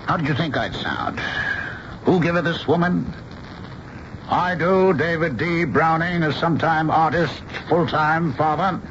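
A man's voice: fairly high pitch, measured pace, a bit bright. An actor playing a genial middle-aged portrait painter and doting father in a radio drama, easygoing, playful and theatrical. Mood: mock pompous. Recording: dull, hissy broadcast radio recording.

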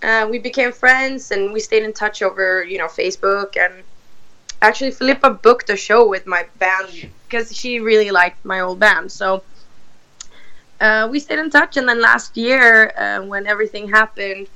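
A raspy-voiced woman from Sweden in her thirties, a rock singer answering interview questions in a chatty way with lots of fillers and restarts. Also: mid-range voice, normal speed, pushed and bright, poor audio.

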